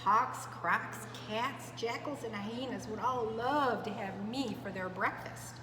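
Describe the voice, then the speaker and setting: A woman's voice: fairly high pitch, takes it slowly. A woman voicing a tiny, cute female bush baby in a storybook, speaking earnestly and expressively. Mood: earnest, wistful. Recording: echoey reverberant room, clear background noise.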